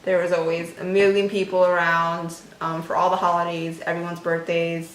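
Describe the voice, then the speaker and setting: A woman's voice: on the low side, completely flat delivery, normal speed, echoey room, low-quality recording. A young woman from the United States chatting casually about her upbringing, with frequent fillers. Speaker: interviewee in a couple's conversation. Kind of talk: casual personal chat.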